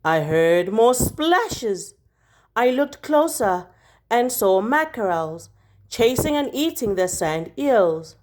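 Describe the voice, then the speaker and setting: A woman reading a children's picture book aloud like a teacher, expressively, with deliberate dramatic emphasis on key words. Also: fairly high pitch, very animated, a little mellow.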